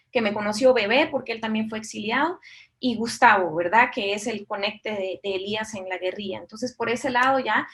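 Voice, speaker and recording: High-voiced woman from Mexico and Costa Rica. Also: a bit bright. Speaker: documentary director being interviewed. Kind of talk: conversational interview answers.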